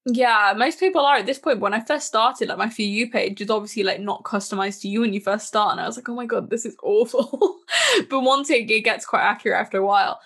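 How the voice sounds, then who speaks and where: A woman in her early twenties chatting on a podcast, her voice slightly high. She talks with animation and breaks into laughter often. The tone is loose and friendly. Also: animated, fairly quick, a bit bright, breathy edge. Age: 20s. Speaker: podcast co-host. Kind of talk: casual podcast chat.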